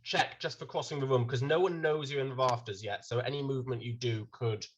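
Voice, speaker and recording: A man's voice: medium pitch, brisk, lo-fi sound. Guy running a tabletop game, laid back, explains rules with lots of ums. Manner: explaining rules, relaxed, with fillers. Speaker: game master.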